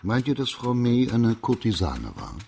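A man's voice: medium pitch, huge pitch swings, moderate pace, gravelly, darkish tone, slight background noise. An actor in a radio play voicing an authoritative, probing male judge of ancient China in formal, commanding speech. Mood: probing, courteous. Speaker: actor in a radio crime drama.

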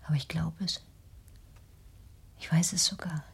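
An actress playing a sheltered, defiant grown daughter in a radio drama, speaking in a hushed, intense way. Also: female voice, deep voice, steady tone, measured pace, full character voice. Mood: insistent. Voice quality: hushed, breathy, husky. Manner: intense, insistent, accusing. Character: sheltered, defiant 38-year-old daughter confined at home. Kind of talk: German radio drama acting.

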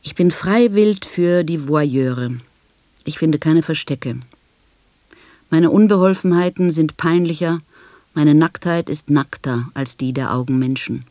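An actress playing a blind woman who tells of her life in an articulate, measured, sardonic radio drama monologue. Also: sits low, warm tone, dry recording. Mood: bitter. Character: blind woman recounting her life with bitter, ironic humour.

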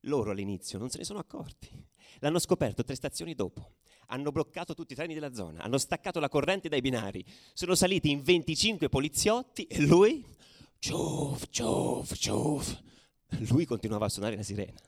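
An actor playing a hardened, streetwise football ultra, delivering an intense, colloquial radio drama monologue in a gravelly voice. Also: male voice, a little high, sing-song, a little fast. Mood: excited, agitated. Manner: colloquial, brooding, swinging between murmur and agitated outburst. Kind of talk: theatrical radio monologue, narrating.

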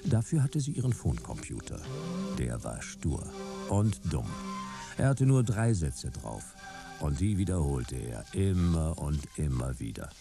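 An actor playing a dry, hard-boiled private detective in a noir radio play, speaking and narrating in terse phrases. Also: male voice, very deep voice, very animated, unhurried, over music, exaggerated voice. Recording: clear background noise.

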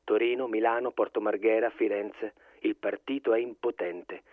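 An actor playing a grave wartime radio chronicler, reading scripted history in a declamatory way through a radio filter. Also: male voice, fairly low pitch, steady tone, moderate pace, no room sound. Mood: grave, formal. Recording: thin phone-like radio sound, very dry.

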